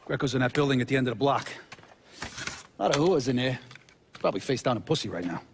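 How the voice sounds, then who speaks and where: An actor playing a bitter middle-aged mobster in a video game cutscene, with blunt, profane street talk. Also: male voice, mid-range voice, expressive, fast talker, background noise, natural voice. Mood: vengeful, gruff.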